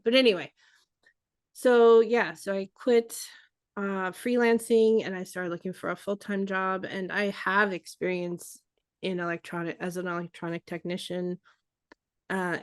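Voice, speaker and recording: A woman's voice: lowish voice, no echo, studio quality. A woman in her forties co-hosting a podcast, chatting casually with frequent laughs and restarts. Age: 40s. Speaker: podcast co-host. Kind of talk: casual chat.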